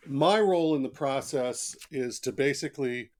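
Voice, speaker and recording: A man's voice: mid-range voice, moderate pace, a little mellow. A man speaking as a guest author in a conversational interview, talkative and reflective, explaining things in plain asides.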